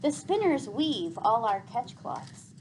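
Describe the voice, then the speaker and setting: A woman's voice: on the high side, big pitch swings, takes it slowly, darkish tone, a little hiss or hum, exaggerated voice. A woman reading the part of an assured, matter-of-fact woman healer in a storybook, explaining things formally.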